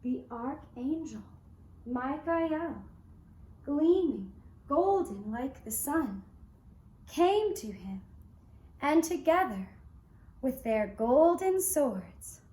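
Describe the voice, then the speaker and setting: A woman telling a children's puppet-show story in a gentle, measured and deliberate way, with pauses between phrases. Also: sits high, lively intonation. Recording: roomy, echoing indoor sound.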